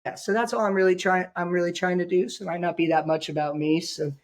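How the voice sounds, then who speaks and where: Raspy, hoarse man hosting a podcast. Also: high voice, fairly even intonation, fast. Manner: rambling, joking, lots of fillers. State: sick, hoarse. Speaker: podcast host. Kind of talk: casual podcast chat.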